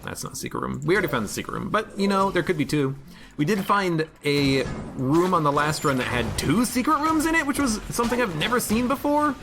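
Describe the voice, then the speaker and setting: A man commentating his own game stream, chatting casually and reading viewer comments aloud, his voice a little hoarse today. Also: high voice, lively intonation, a little fast. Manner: chatty, reads chat aloud, musing. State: slightly hoarse.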